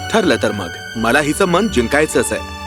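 An actor playing an earnest, charming young prince with a courteous, romantic manner in a fairy-tale cartoon. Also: male voice, mid-range voice, big pitch swings, moderate pace, over music, lightly acted. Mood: resolved, admiring.

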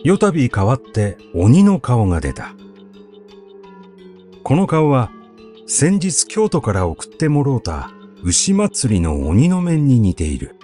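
Male narrator for literary recitation: slow, measured storytelling, highly varied pitch, faint music behind. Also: wildly swooping pitch, dark tone. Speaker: narrator reading literature aloud. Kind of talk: literary recitation of a classic essay. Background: background music.

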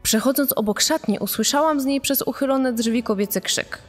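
An actress playing a puzzled television crew member giving conversational testimony in a true-crime dramatization. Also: female voice, high-pitched, normal speed, big-room sound, soft background music.

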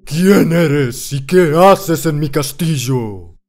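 A huge, proud old cartoon giant, acted by a man. He boasts slowly in a fairly high, animated voice, easily flattered. Faint music plays behind. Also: heavily acted. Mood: suspicious. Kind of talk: children's cartoon storybook acting.

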